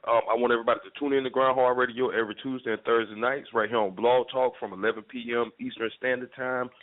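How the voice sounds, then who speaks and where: A man's voice: medium pitch, steady tone, quick pace. Man talking over a tinny phone line. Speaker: radio show host appearing as guest. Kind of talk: conversational promotional interview talk.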